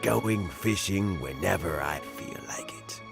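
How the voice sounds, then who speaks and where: An actor playing a kindly older man close to retirement, gentle and reminiscing, chuckling often. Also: deep voice, lively intonation, slow, creaky, over music, exaggerated voice. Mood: warm. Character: kindly old man near retirement, fond of fishing. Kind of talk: game voice acting.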